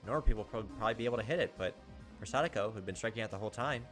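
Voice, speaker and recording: A man's voice: fairly low pitch, racing, fairly bright tone, soft background music. A man hosting a gameplay video, reading a visual novel aloud as dramatized, playful narration.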